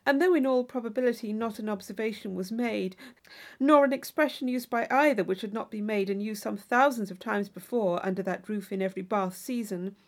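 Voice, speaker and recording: A woman with a somewhat high voice narrates an audiobook. She reads formal literary prose from a script, as the storyteller of a classic novel. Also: vocal fry. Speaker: audiobook reader.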